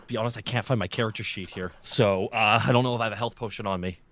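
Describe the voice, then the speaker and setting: A man's voice: lowish voice, fairly even intonation, quick pace, fairly bright tone. A man playing a tabletop role-playing game, talking casually and describing what his character does. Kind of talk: animated casual game chat.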